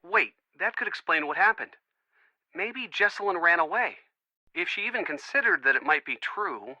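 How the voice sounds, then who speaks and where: An actor playing an earnest, wisecracking young American boyfriend, chatty and eager, in an adventure game. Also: male voice, high voice, animated, normal speed, bright, forceful tone, dry recording, heavily acted, low-quality recording. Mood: speculative. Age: young adult. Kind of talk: scripted adventure game dialogue.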